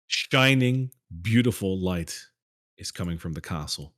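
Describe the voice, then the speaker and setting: A man narrating a tabletop game as game master, with descriptive narration and quick asides. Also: low-pitched, animated, fairly bright tone.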